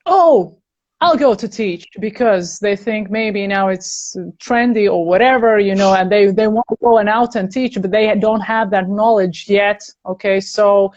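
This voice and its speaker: A woman's voice: medium pitch, measured pace, a little mellow, dull audio. A woman vocal coach hosting a livestream, chatty and encouraging, talking straight to her viewers. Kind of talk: casual livestream chat.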